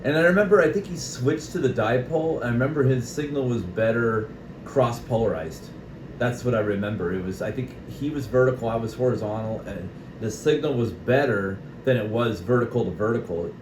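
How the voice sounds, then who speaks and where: A man's voice: medium pitch, a little fast. An American man chatting casually over CB radio as an operator, explaining things and often checking for agreement. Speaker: CB radio operator and video maker. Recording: noisy, echoey room recording.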